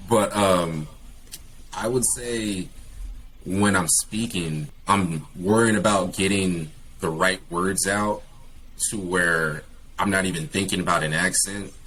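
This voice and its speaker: Deep-voiced man, halting casual talk. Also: fairly bright tone, rough recording. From California. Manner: hesitant, many fillers and restarts. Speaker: guest, English teacher.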